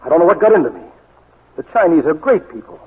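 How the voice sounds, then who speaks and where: Rapid, husky radio-drama schemer. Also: male voice, fairly high pitch, big pitch swings, exaggerated voice. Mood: wheedling. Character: shady, scheming male swindler, wheedling and indignant. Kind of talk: 1940s radio drama acting. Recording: muffled, worn 1940s radio recording.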